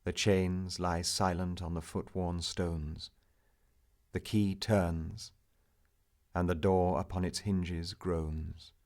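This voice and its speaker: Slow, low-voiced theatrical male poetry narrator. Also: level tone. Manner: measured, theatrical, with strong swells and hushed endings. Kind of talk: dramatic narrative poetry recital.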